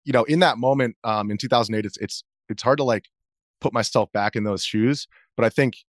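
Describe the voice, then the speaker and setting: A man's voice: medium pitch, a little fast, no echo, studio quality. A man in his thirties speaking as an interview guest, answering casually in a hesitant, rambling way. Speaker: interview guest, video journalist.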